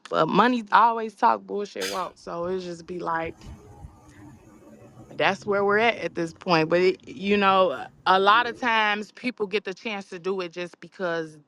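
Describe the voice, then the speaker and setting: A raspy-voiced woman from Chicago, a rapper being interviewed, speaking softly and hesitantly with many fillers. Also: medium pitch, a little slow. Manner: soft-spoken, hesitant, trailing off with fillers. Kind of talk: casual interview chat.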